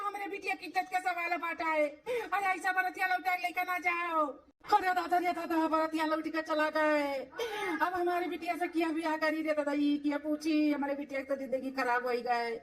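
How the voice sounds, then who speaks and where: A woman's voice: extremely high pitch, fairly even intonation, normal speed, fairly bright tone, far from the mic. A woman playing an anxious cartoon father of a bride, pleading and lamenting in an Awadhi accent. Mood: despairing. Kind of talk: cartoon dialogue in village comedy.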